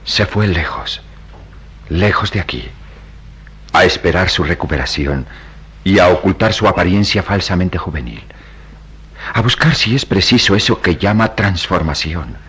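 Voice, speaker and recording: An actor playing a grave, compassionate priest in a radio drama, speaking in solemn, oratorical phrases. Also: male voice, deep voice, animated, measured pace, long pauses, fairly bright tone, husky, heavily acted. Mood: gentle, grave. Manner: solemn, oratorical, emphatic delivery. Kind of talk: melodramatic Latin American radio drama acting.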